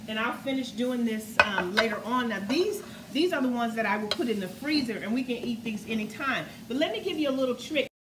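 A chatty middle-aged woman hosts a casual home cooking demo, her voice sitting a touch high. She keeps turning to viewers with "guys" and "okay?". The sound comes from a distance across a large, echoey kitchen, with a noisy background. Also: expressive, fairly quick, hardly any pauses. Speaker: cooking show host. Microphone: camera mic across the kitchen.

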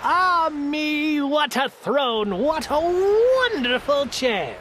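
A woman's voice: very animated, takes it slowly, slight background noise. A woman voicing a boastful, imperious male turtle king in a rhyming storybook. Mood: exultant. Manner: imperious, booming exclamations.

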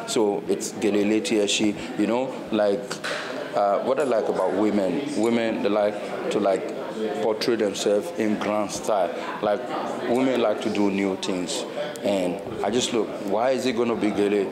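A man's voice: fairly low pitch, fairly even intonation, normal speed, over a noisy crowd. A man with a Nigerian accent, a sculptor being interviewed, speaking off the cuff with repetitive, emphatic phrasing. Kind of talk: spontaneous interview. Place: indoor art gallery. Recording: echoey room with people chattering.

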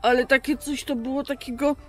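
A woman in her thirties hosting a children's Bible show, telling stories in simple, conversational words with pauses. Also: high voice, fairly even intonation, soft background music. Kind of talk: storytelling to a puppet for children. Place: outdoors in a garden.

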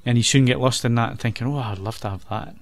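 A man's voice: mid-range voice, quick pace. A man hosting a podcast, chatting casually and rambling a little as he wraps up the episode. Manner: relaxed, chatty, rambling wrap-up. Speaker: podcast host. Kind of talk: casual chat.

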